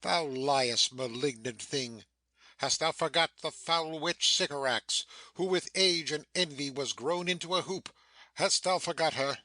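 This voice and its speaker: Man as stern sorcerer master, sharp forceful tone, dry sound, declamatory, imperious, scolding, Shakespeare audiobook drama. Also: on the high side, measured pace, no room sound. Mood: scolding anger.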